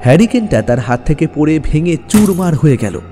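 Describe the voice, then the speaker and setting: A man narrating a scripted horror story dramatically, with suspenseful pauses. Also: fairly high pitch, very animated, warm tone, faint music behind.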